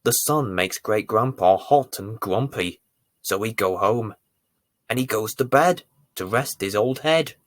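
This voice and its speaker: Animated, unhurried man telling bedtime stories. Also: lowish voice, no echo. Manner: deliberate, theatrical emphasis with dramatic swells and hushes. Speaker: children's bedtime story presenter and reader. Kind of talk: expressive bedtime storytelling for young children.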